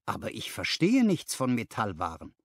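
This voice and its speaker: Man playing a modest, earnest young clerk, lively intonation. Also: medium pitch, moderate pace, no echo, studio quality. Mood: earnest, doubtful. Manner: polite, earnest. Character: modest, polite young male clerk seeking advancement. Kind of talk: dramatized audiobook dialogue.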